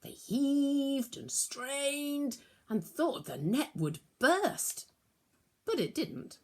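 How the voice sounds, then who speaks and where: Slow, deliberate woman reading a children's story. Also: lowish voice, darkish tone, breathy edge. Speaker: storyteller and prayer leader.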